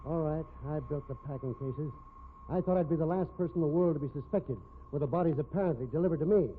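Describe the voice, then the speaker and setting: A man's voice: on the high side, fast talker, close to an everyday voice. An actor playing a cold, haughty businessman murderer in a 1950s radio drama, speaking formally and precisely to justify himself. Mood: coolly confessing. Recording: tinny band-limited old radio recording, hissy.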